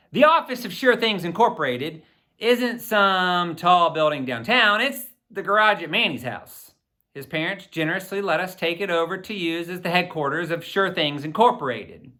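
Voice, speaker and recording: A man's voice: high-pitched, bright, forceful tone. A middle-aged man, a school principal, speaking to children and reading aloud in an upbeat, emphatic, encouraging way. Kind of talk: enthusiastic address and children's book read-aloud.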